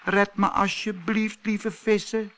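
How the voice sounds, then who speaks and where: A tiny storybook girl played by a man, sweet and plaintive. The voice is lifted very high and pleads slowly. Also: level tone, fairly bright tone, a little hiss or hum, full character voice. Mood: pleading.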